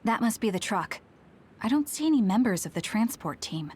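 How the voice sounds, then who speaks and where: A woman's voice: mid-range voice, sing-song, normal speed, a little mellow, slight background noise, lightly acted. A woman playing a serious, resolute young female soldier in an anime-style video game, direct and earnest. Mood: determined.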